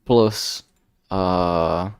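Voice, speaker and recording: A man talking casually and reflectively on a solo stream, halting often as he searches for words. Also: deep voice, smooth. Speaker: indie game developer streaming.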